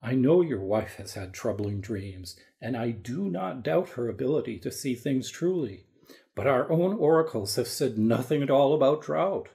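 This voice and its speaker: A man playing a solemn, caring divine king of ancient Egypt in an audio drama, speaking in a measured, formal, regal way. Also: mid-range voice, expressive, gravelly, dark tone. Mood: diplomatic. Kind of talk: dramatised audio story reading.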